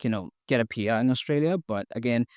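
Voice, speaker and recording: A young man in his twenties from India, a podcast guest talking casually with many pauses, fillers and restarts. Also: medium pitch, a little mellow, clear, resonant tone, no room sound, studio quality. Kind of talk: casual interview, sharing personal story.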